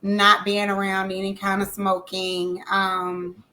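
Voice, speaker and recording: A woman singer speaking in a casual interview, emphatic and animated, often repeating phrases for effect. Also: mid-range voice, takes it slowly. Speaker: singer guest.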